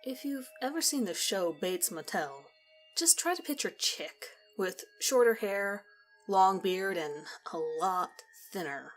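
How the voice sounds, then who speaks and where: A woman narrating a scripted first-person story in a conversational, measured storytelling manner. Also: medium pitch, animated. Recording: music behind the voice. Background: background music.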